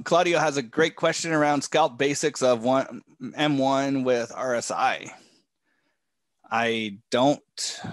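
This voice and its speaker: A man coaching a live trading session from a home office, talking in a relaxed way with many fillers, a bit hoarse and often clearing his throat. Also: mid-range voice, no room sound. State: losing his voice.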